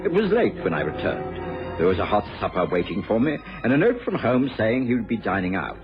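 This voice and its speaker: Muffled radio audio with faint music behind it. a loyal gentleman narrator, a man with a low, animated voice, mild and gently concerned as he tells the tale. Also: fairly quick. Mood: reflective narration. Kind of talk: radio drama narration and dialogue. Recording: muffled old radio drama recording.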